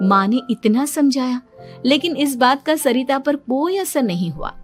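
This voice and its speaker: A woman's voice: sits high, animated, unhurried, faint music behind. A woman narrating a cartoon story in a plain storytelling manner.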